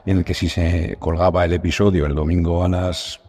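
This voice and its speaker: Low-pitched Spanish man, rambling podcast guest. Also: little pitch movement, normal speed, a little mellow, a little hiss or hum. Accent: Spanish from Spain. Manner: rambling, self-deprecating. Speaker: podcast guest, writer and creator of audio fiction. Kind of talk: casual interview chat.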